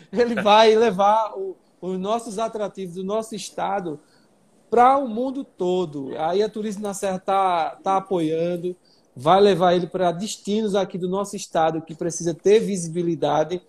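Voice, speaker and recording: A man's voice: sits high, moderate pace, darkish tone. A man hosting a live stream, introducing guests and asking questions with enthusiasm. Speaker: livestream host.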